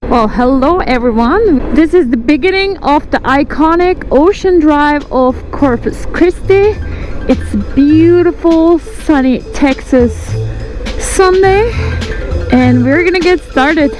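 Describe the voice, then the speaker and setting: Turkish accent lady in her 40s, high voice, chatty ride commentary over music. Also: slow, rough recording. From Turkey. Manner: excited and chatty, addresses viewers as folks. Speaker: vlogger. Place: outdoors riding a motorcycle.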